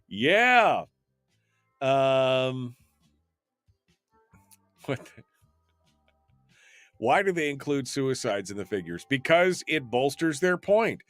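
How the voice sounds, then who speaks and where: A man's voice: medium pitch, sing-song, slow, long pauses, fairly bright tone, no echo, faint music behind. A man hosting a talk radio show, reading listener comments aloud and answering them with opinionated, rambling remarks full of ums and restarts.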